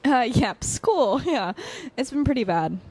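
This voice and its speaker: A woman's voice: sits high, big pitch swings, a little slow, warm tone, breathy edge, full character voice. A woman playing a playful young college student in a naturalistic audio drama, talking in a chatty, informal way. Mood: casual. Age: young adult.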